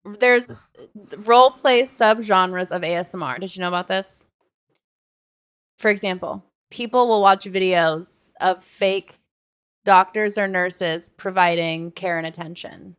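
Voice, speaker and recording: A young woman co-hosting a podcast in casual conversation, animated and given to acting out asides. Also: medium pitch, measured pace, clear, resonant tone. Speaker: podcast co-host.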